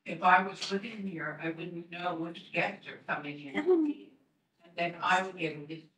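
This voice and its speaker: A woman's voice: on the low side, animated, fairly bright tone. Older woman reading a complaint, roomy. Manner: earnest, measured, with many pauses. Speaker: tenant giving public comment. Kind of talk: reading prepared public comment. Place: community room at a board meeting, seated. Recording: roomy sound from a distant mic.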